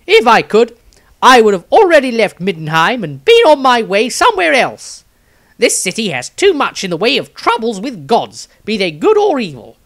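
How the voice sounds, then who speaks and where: A man's voice: very high voice, huge pitch swings, normal speed, fairly bright tone, voice pushed up, heavily acted. A man voicing an impatient, grumbling male engineer who speaks emphatically, reading his diary in a fantasy audiobook. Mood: impatient, irritated.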